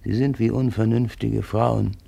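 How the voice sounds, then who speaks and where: An actor playing a shrewd, deferential old Malay statesman in a radio drama, speaking with measured persuasion and a creaky voice. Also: on the low side, steady tone, mellow, dry recording, full character voice. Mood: cunning, persuasive. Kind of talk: German radio drama acting.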